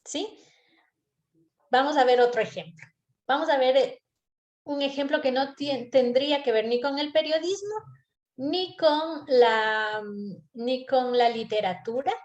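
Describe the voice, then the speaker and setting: A woman's voice: sits high, unhurried, reverberant, muffled recording. A woman teaching a storytelling workshop over a video call, earnest, repeating phrases for emphasis.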